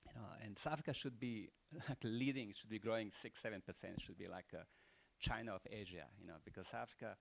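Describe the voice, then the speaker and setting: A man's voice: lowish voice, fast talker, vocal fry, few pauses, slight background noise. An older man with a Croatian accent giving rambling, unscripted closing remarks at a panel event, full of rhetorical questions and "you know".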